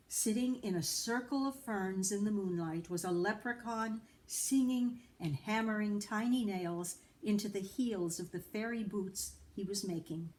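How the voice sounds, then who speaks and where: A woman's voice: mid-range voice, a little mellow. A woman reading a children's folktale aloud as a storyteller, with dramatic emphasis and little asides to listeners. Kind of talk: expressive read-aloud narration of a children's folktale.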